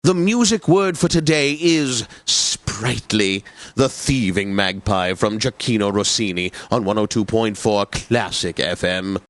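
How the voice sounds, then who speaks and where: A man's voice: lowish voice, measured pace, fairly bright tone, no echo. A man playing a pompous, whimsical classical music radio host for a game, announcing with theatrical flourish and precision. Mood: grandly whimsical.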